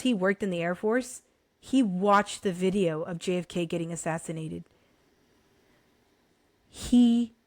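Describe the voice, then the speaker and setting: Young woman, hoarse, casual vlog talk, animated, addresses viewers directly, dry close sound. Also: lowish voice, no echo. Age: young adult. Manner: animated, addresses viewers as guys, many restarts. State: hoarse, recovering from illness. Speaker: vlogger recommending books.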